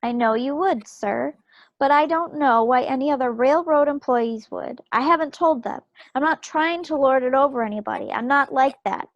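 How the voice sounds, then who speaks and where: A woman's voice: high voice, normal speed, no room sound, muffled recording. A woman playing a proud young wartime wife and railroad telegraph operator in a play reading, speaking formally and firmly in her own defence. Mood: firm, defiant. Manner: formal, firm, articulate self-defence. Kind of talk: one-act play table read. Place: indoors, remote video call read. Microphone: video call.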